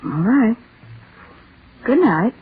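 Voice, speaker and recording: An actress playing a dutiful, concerned secretary, polite and deferential, in 1940s radio drama. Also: female voice, on the high side, sing-song, very slow, a little hiss or hum, heavily acted. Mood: worried, deferential. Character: dutiful, concerned female secretary working late. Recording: muffled, tinny old radio broadcast.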